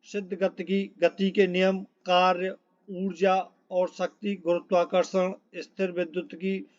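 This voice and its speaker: Male exam tutor with a notably high voice, instructional and repetitive, informal delivery, on a dull low-fidelity recording. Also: flat delivery, a little slow. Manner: instructional, repeats points and checks in often. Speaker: exam coaching teacher. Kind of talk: informal syllabus walkthrough, reading out topic lists.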